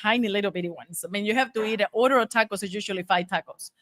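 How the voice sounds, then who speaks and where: A woman's voice: mid-range voice, rapid-fire, fairly bright tone, no echo. A middle-aged woman from Monterrey, Mexico, a native Spanish speaker, telling her story casually and emphatically as an interview guest. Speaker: guest, taco shop owner.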